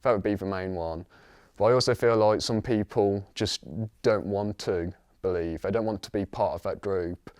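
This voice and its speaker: A man's voice: lowish voice, moderate pace, a little mellow, no echo, studio quality. A twenty-one-year-old man, a student, talking casually in a documentary interview. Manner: casual, conversational. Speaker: media production student.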